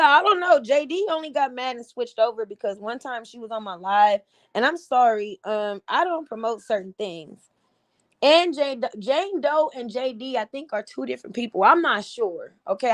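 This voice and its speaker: A woman with a slightly raspy voice hosting a casual livestream, giving blunt, animated commentary with frequent laughs. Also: fairly high pitch. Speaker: livestream host.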